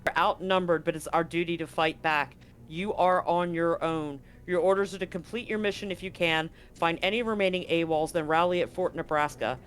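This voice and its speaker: A man's voice: a little high, brisk, a bit bright, lightly acted. A man voicing an embattled marine commander giving stoic, urgent orders in a tabletop sci-fi game. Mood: grim, resolute.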